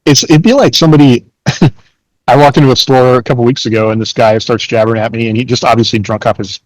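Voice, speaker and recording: A man's voice: mid-range voice, steady tone, quick pace, no echo, poor audio. A man hosting a podcast in casual, slangy chat, with a laid-back and chuckly manner. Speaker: podcast host.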